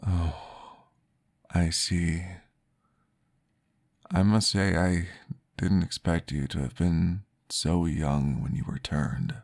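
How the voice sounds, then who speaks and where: A man playing a calm, courteous vampire therapist in an ASMR roleplay, guiding gently and formally in a breathy, gravelly voice. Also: sits low, heavily acted. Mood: gently curious. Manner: slow, gentle, formal, soothing guidance.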